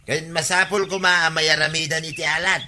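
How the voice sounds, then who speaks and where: Man playing sharp-voiced grumbling villager, very bright. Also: a little high, level tone, normal speed, slight background noise. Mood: insistent. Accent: Ilocano Filipino. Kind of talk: Filipino comedy radio drama acting.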